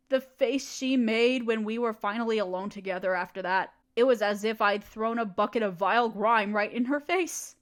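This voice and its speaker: A woman voicing a proud, cold evil queen who rules an empire, speaking in a haughty, clipped way that turns reluctantly tender. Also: a little high. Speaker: voice actor in an audio drama. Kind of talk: audio roleplay acting.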